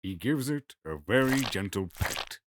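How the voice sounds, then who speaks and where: A man's voice: fairly low pitch, lively intonation, measured pace, noisy background. A man narrating a scripted fantasy audio drama in the present tense.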